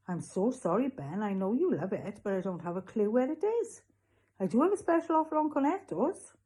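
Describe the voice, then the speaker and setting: Woman playing an eager, eccentric male shopkeeper, pitched up. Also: very animated, dark tone. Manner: slow deliberation, salesman-like enthusiasm. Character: eccentric, eager male newsagent pushing odd special offers. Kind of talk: storybook character voice.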